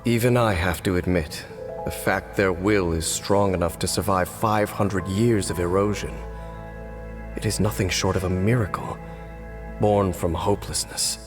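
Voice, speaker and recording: Guy doing a stoic cursed warrior from a game, lowish voice, grave and formal, music behind him. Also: normal speed, lightly acted. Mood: solemn, composed. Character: cursed, stoic male warrior, centuries old, solemn and weary. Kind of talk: video game voice acting.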